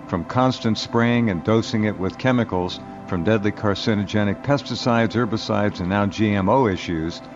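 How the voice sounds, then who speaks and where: Low-voiced announcer, dull lo-fi sound. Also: male voice. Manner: earnest, grave sales pitch. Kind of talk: scripted radio ad read. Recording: dull lo-fi radio ad.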